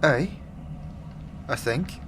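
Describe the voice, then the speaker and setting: A man's voice: medium pitch, expressive, drawn-out words. A man voicing a wary, streetwise young tiefling woman in a fantasy audio drama, talking in clipped street cant. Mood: uncertain, hesitant. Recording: clear background ambience noise.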